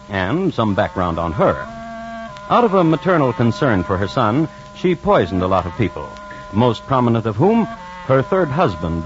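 Music sits behind a dull old radio recording of a male narrator. He tells his crime story deliberately, with dry wit and a lively, varied pitch.

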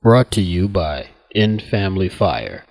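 A man reading a scripted public service announcement in a measured, earnest manner. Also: low-pitched, sing-song, a little mellow. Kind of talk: scripted ad narration.

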